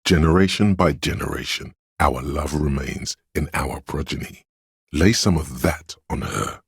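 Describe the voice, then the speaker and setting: Very deep, scholarly know-it-all narrator. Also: male voice, expressive, no room sound, studio quality, heavily acted. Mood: musing. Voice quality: very deep, smooth, resonant. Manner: measured, scholarly, dryly condescending. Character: erudite encyclopedic inner voice, a measured, dryly condescending know-it-all man. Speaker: game narrator. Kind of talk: scripted game narration.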